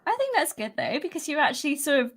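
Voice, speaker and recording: She is a woman hosting a relaxed interview. Her voice sits fairly high. She sounds encouraging and upbeat, and she giggles easily. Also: fast talker. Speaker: host. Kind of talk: casual interview chat.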